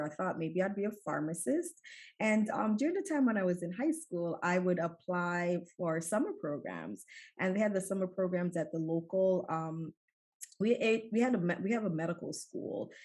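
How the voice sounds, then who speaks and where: A woman's voice: on the low side, animated, normal speed. Chatty middle-aged woman from Jamaica. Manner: warm storytelling with laughs and many fillers. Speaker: guest, emergency physician. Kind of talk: conversational interview storytelling.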